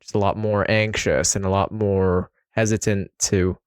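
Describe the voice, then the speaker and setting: A young man born in the Philippines hosts a podcast in a relaxed way, asking questions and dropping in lots of "yeah". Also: low-pitched, normal speed, clear, resonant tone, dry recording, studio quality. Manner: relaxed, frequent "yeah" backchannels. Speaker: podcast host. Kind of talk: casual conversational interview.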